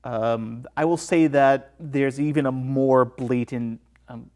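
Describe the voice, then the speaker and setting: A man's voice: mid-range voice, fairly even intonation, takes it slowly, darkish tone. A man talking as a podcast guest, analysing films in an explanatory, conversational way.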